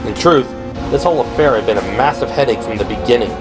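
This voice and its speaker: A man's voice: medium pitch, expressive, fast talker. A man delivering scripted, dramatic narration for an audio drama. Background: dramatic background music.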